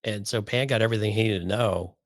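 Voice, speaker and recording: Male podcast co-host, deep-pitched, quick casual delivery, dry studio-close sound. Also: no echo. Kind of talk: casual discussion.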